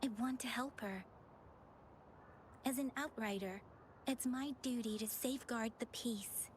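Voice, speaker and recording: Woman acting young outrider heroine, video game, earnest, dutiful, highish voice, slight ambient noise. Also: measured pace, natural voice. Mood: earnest, resolute. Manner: earnest, resolute. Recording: game audio with faint background ambience.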